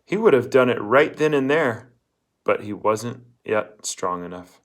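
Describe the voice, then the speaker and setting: Man reading a novel aloud, mid-pitched. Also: animated, a little slow. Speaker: audiobook reader. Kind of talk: scripted storybook narration, read aloud.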